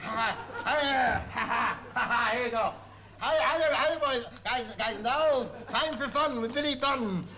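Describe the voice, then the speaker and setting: A man's voice: very high voice, slow pace, exaggerated voice. An actor playing a hungover, manic male disc jockey, rambling, slurring and repeating himself in comic radio patter. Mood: manic cheer. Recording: loud music and audience noise behind.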